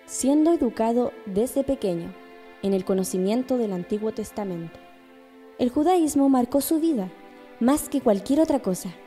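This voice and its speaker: A woman delivers scripted radio drama narration. Her voice is somewhat high yet extremely warm and dark in tone, with faint music behind it.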